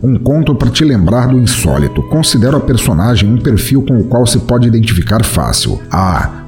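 A man's voice: fairly low pitch, warm tone. A man with a Brazilian accent hosting a podcast, reading out listener comments and replying in an informal, friendly way. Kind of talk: conversational reading of listener feedback.

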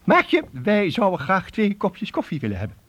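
A man playing a fussy, excitable old professor who mutters, stammers and repeats himself, in a comic radio play. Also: high-pitched, normal speed, lo-fi sound, slight background noise, exaggerated voice. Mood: pleased.

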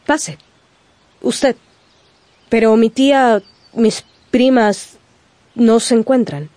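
A woman playing a spirited, proud young gentlewoman in a radio drama, earnest and self-possessed. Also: on the high side, drawn-out words, dry recording. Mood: awkward surprise.

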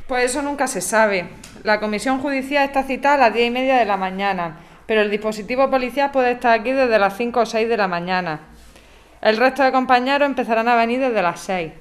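An actress plays a resolute woman organiser in an audio drama. Her voice sits a little high, and she speaks in firm, declarative sentences. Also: fairly quick, lightly acted. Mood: informative. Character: resolute female housing activist briefing residents. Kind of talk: scripted audio drama acting.

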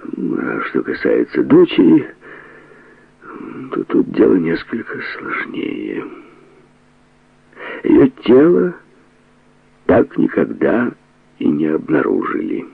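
Man reading as grave, gravelly bearer of secrets. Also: very deep voice, dry recording, narrow, tinny audio, exaggerated voice. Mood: grave, hesitant.

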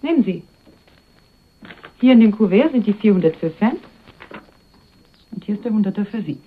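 A woman's voice: mid-range voice, animated, normal speed, close to an everyday voice. An actress playing a cool, teasing woman in a German radio crime drama, coy and dismissive with an admirer. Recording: phone-like old radio drama, clear noise.

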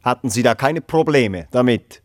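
A man plays a stern interrogator in a radio play. His mid-pitched voice is recorded very dry, and he puts his questions in curt, formal, insistent bursts. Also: lively intonation, moderate pace, no room sound, lightly acted. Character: stern, probing official interrogating a job applicant. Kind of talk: radio drama dialogue.

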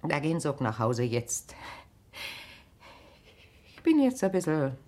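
Weary middle-aged country wife, low voice, very slow and halting, bitter yet proud, radio drama. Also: female voice, sing-song, warm tone. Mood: shaken, weary. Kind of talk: naturalistic German radio drama acting.

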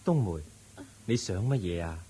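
The serene Buddha, deep and husky. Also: male voice. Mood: calm. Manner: calm, slow, gently admonishing. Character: serene, patient male Buddha, a wise teacher. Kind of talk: Cantonese radio drama acting.

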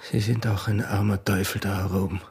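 An actor playing a brash, probing, strapping border guard with an Austrian accent, speaking in terse, low-key questions in an intimate radio drama. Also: male voice, little pitch movement, brisk, a little mellow, husky.

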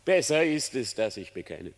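An actor playing a cunning, lying fox who theatrically feigns repentance in a classic German verse radio drama. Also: male voice, mid-range voice, very animated, normal speed. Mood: feigned anguish. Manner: theatrical, feigned repentance, swinging between hush and outcry. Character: cunning lying male fox pleading before his execution.

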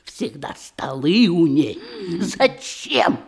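An actress playing a stingy, judgmental middle-aged aunt in a Russian radio comedy in verse, speaking deliberately and theatrically. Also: female voice, fairly low pitch, sing-song, creaky, darkish tone, husky, exaggerated voice. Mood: approving, censorious.

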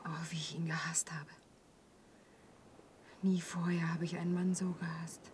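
An actress playing a pensive, troubled young woman in an intimate German radio drama, hushed and wistful. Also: on the low side, flat delivery, normal speed, slight background noise, lightly acted. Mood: haunted, unhappy. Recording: faint background ambience.

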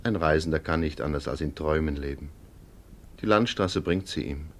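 Deep-voiced solemn mourner. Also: male voice. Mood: solemn, elegiac. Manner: measured, elegiac. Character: solemn, loyal man eulogising a salesman friend. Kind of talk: 1950s German radio drama acting.

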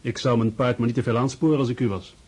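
A man's voice: medium pitch, fairly even intonation, quick pace, a little mellow, slightly rough, natural voice. A man acting a wry, gossipy army officer in a radio play, casual and knowing. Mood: wry, amused.

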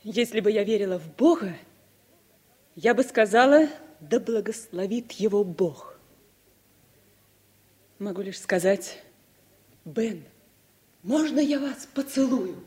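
An actress playing a gentle, idealistic Spanish woman, breathy and soft-hearted, in Soviet radio drama style. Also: mid-range voice, expressive, slow pace, long pauses. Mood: tender, solemn.

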